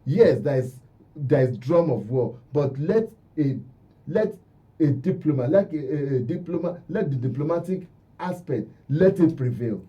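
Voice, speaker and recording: A Nigerian man gives commentary as a radio guest in a somewhat high, very soft-edged, mellow voice. He is emphatic and restates phrases for weight. The audio is a little dull and lo-fi. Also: expressive, measured pace, recorded from a distance. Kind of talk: radio interview discussion.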